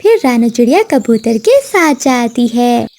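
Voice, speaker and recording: A woman's voice: on the high side, big pitch swings, measured pace, a little mellow. A woman narrating a scripted children's story as the storyteller.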